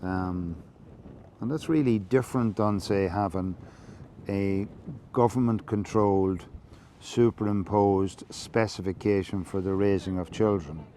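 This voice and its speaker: An Irish man giving a measured, reflective monologue, explaining things slowly, with many fillers and restarts. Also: lowish voice, mellow, slight background noise. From Ireland. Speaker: podcast host.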